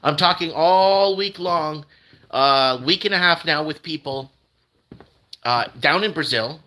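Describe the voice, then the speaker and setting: A man hosting a casual morning live stream, whose first language is Portuguese, talks in a bright, forceful voice pitched a bit high. He rambles, restarts, and repeats himself as he chats with viewers. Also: measured pace. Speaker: host.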